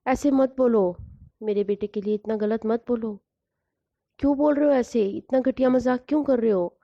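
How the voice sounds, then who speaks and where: A woman's voice: fairly high pitch, moderate pace, creaky, no echo. A woman voicing a frantic grieving mother. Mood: frantic denial. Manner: wailing, pleading in disbelief. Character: grief-stricken middle-aged mother, frantic. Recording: slightly dull lo-fi recording.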